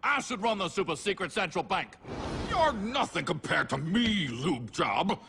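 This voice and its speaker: A man's voice: sits high, very animated, unhurried, gravelly, background noise, exaggerated voice. A man playing a pompous, boastful, greedy cartoon tycoon in a reverberant space. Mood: boastful, indignant. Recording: reverberant hall, music behind.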